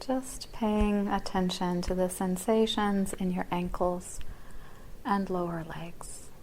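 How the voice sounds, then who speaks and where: A woman yoga teacher guiding a body scan meditation in a yoga class, speaking softly and gently, her words often trailing into near-whispers. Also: fairly low pitch, steady tone, slow, a little hiss or hum, rough recording.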